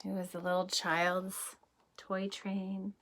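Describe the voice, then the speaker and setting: A woman kindergarten teacher reading a rhyming picture book aloud in a slow, sing-song way, pausing to ask the children gentle questions. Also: medium pitch, monotone.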